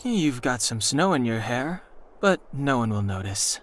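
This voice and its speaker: An actor playing a calm, serious young interstellar traveler in a video game, speaking formally and matter-of-factly. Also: male voice, mid-range voice, unhurried. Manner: matter-of-fact, formal, concise. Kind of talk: English video game voice acting. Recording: music clearly underneath.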